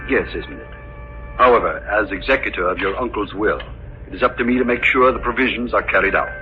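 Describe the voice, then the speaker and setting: An actor playing a fussy, formal, dutiful estate executor with a hesitant, precise manner in 1940s radio drama. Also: male voice, fairly low pitch, fast talker, natural voice. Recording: muffled, noisy old radio recording.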